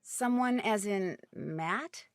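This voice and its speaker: A woman's voice: medium pitch, slow pace, no echo. A woman playing a curious, polite young detective in a video game, direct and questioning in her manner. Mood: inquisitive. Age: young adult. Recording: music behind dialogue.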